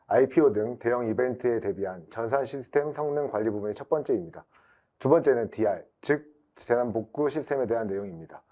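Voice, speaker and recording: A man's voice: medium pitch, dull audio. A man giving a formal, explanatory prepared webinar presentation as an IT specialist.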